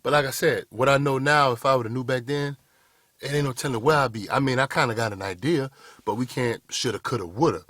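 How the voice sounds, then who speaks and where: A man's voice: medium pitch, fast, fairly bright tone, no echo, clean studio sound. A man, giving a blunt, preachy motivational talk straight to the camera. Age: 30s. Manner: blunt, preachy, addresses viewers as "y'all boys". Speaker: motivational speaker. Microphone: camera mic, speaking to camera.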